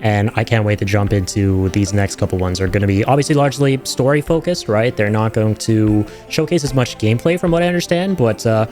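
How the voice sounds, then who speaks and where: Man streamer, casual excited commentary, rambles a bit, some music under him. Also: fairly low pitch, fairly quick, hardly any pauses. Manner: chatty, enthusiastic, rambling. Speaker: streamer and video host reacting to game trailers.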